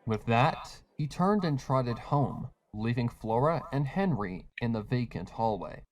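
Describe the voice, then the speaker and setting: A man's voice: medium pitch, expressive, measured pace. A man reading scripted story narration as the narrator of a fan fiction.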